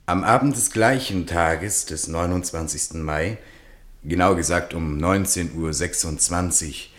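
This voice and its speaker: A man reading scripted narration for a radio drama. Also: low voice.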